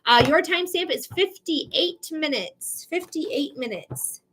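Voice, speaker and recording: A woman's voice: high voice, lively intonation, slow pace, fairly bright tone, slight background noise. A bubbly, chatty woman with a Michigan accent hosting a live psychic reading stream, laughing often as she talks to viewers. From Michigan, United States. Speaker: psychic reader hosting a livestream. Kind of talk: casual livestream chat.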